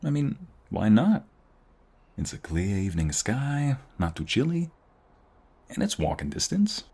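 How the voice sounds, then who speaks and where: Husky, very gravelly man acting a tender young husband. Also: on the low side, wildly swooping pitch, a little slow, heavily acted. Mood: relaxed, warm. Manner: tender, teasing, hushed, lingering delivery with sighs and soft chuckles. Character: affectionate, teasing young husband, mobster's son on the run. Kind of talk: intimate ASMR roleplay acting.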